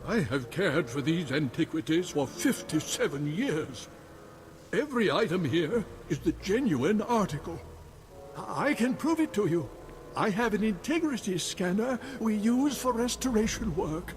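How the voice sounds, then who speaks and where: A man's voice: sits high, sing-song, unhurried. A man playing a fussy, anxious elderly museum curator in a video game, prim, fretful and dramatic. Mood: indignant, protesting. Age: older. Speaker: character actor in a video game. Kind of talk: scripted game dialogue. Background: music.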